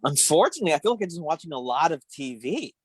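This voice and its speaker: A woman's voice: sits low, sing-song, fast, slightly rough, no echo. A woman comedian chatting casually as a guest, with a wry, self-deprecating way of talking. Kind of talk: casual chat.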